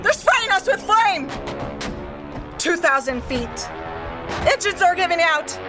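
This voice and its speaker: A woman's voice: extremely high pitch, very animated, takes it slowly, loud music behind the voice, heavily acted. A woman playing a frantic, doomed bomber pilot reporting in short bursts in an audio drama.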